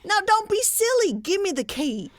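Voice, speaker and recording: An actress playing a practical, gently needling wife in old-time radio comedy style. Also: female voice, very high voice, very animated, moderate pace, no echo, clean studio sound. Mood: exasperated. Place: recorded at home, dry and close.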